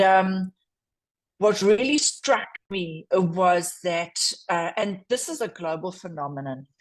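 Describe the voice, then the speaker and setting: A woman with a South African accent, answering as a panelist in a slow, measured, thoughtful way. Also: lowish voice, poor audio.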